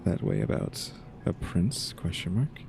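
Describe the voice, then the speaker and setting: A man's voice: deep voice, huge pitch swings, a little slow, vocal fry, noisy background. A man giving casual, laid-back commentary as he plays a video game, musing aloud. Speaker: gaming video host.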